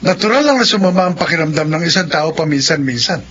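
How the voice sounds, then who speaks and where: A man's voice: on the high side, expressive, fast talker, fairly bright tone, breathy edge. A man acting in a Filipino radio drama as an evasive, defensive village man with a secret. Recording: dull lo-fi radio drama, faint noise.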